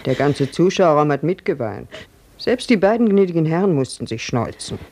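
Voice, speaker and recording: Brisk, scolding servant with swooping intonation. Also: female voice, sits low, big pitch swings, darkish tone, heavily acted. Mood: admiring. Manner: brisk, reproving. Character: scolding, bustling female servant minding a young actress. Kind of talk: 1950s German radio drama acting.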